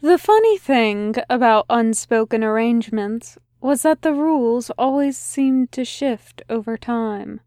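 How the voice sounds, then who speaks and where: Woman narrator for a fan audiobook; slow, gentle delivery, fairly high voice. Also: lively intonation, dry recording, studio quality. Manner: slow, soft, unhurried reading. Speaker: podfic reader.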